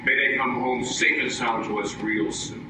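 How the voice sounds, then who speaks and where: A man's voice: mid-range voice, fairly even intonation, moderate pace, recorded from a distance, heavy background noise, lightly acted. A man playing an earnest wartime radio singer giving a spoken dedication. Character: earnest wartime radio crooner introducing a song. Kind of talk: old-time radio dedication. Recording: echoey hall, loud music behind.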